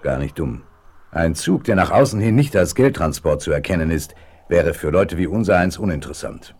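An actor playing a cool, calculating leader of a Western outlaw gang in a 1970s radio play, commanding and deliberate. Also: male voice, sits low, lively intonation, darkish tone. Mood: scheming, scornful.

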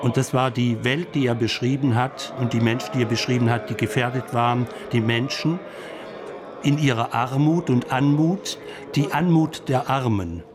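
A man giving reflective commentary, musing haltingly and circling back over his phrases. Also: medium pitch. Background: music.

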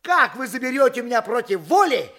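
Stubborn peasant donkey driver, very high voice, lively swings, protesting and haggling in a stage-style radio play. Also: male voice, fast, exaggerated voice. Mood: offended, threatening. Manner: haggling, protesting, mock-deferential. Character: indignant, stubborn common donkey driver of ancient Abdera. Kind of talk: theatrical audio drama acting.

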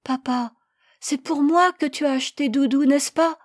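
A woman's voice: sits high, steady tone, normal speed, voice pushed up, natural voice. A woman voicing a tender-hearted, stubborn little boy who asks earnest, pleading questions.

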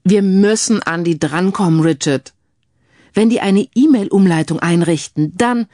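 A man's voice: sits high, measured pace, warm tone, no echo, studio quality. A woman voicing an eager, easily amazed male amateur detective in an audiobook crime story. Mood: urgent.

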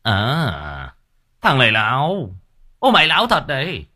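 A man's voice: medium pitch, wildly swooping pitch, unhurried, pushed and bright, slightly rough, no echo, studio quality, voice pushed up, heavily acted. A man playing a corrupt, bullying market warden who sneers and barks. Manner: sneering, barking, mocking.

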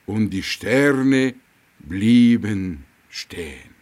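An actor playing a serene holy master of compassion in a radio drama, solemn and measured, with long pauses. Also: male voice, on the low side, lively intonation, a little mellow. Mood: serene solemnity.

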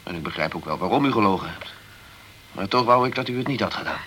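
An actor playing a sceptical male investigator in a radio crime drama, composed and persistent, with measured, pointed questioning. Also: lowish voice, lively intonation, bright, forceful tone, slight background noise. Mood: measured, regretful.

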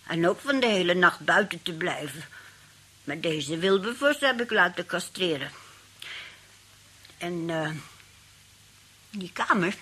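An actress playing a sly, genteel old aunt who rambles politely and feigns helplessness in a radio play. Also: female voice, lowish voice, animated, a little slow, pauses often, sharp tone, slightly rough, exaggerated voice. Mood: genteel, chatty.

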